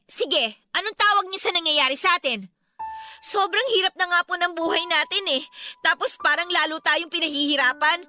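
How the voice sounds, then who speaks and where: A woman's voice: very high voice, sharp tone, heavily acted. A woman acting a poor, worried young daughter in a Filipino radio drama, pleading and insistent with an emotional delivery. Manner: emotional, insistent, respectful to her father.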